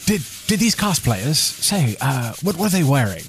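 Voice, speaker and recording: A man's voice: fairly high pitch, very animated, unhurried, gravelly, noisy background, exaggerated voice. A man playing a bewildered, hapless slacker who stammers and hesitates through a radio comedy. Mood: hesitant. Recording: music behind the voice.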